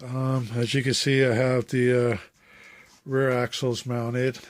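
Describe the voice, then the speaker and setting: A man with a cold and a phlegmy throat, talking through a hobby build update in a halting, unscripted way with many fillers. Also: mid-range voice, monotone, dry recording. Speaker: RC hobbyist showing his build.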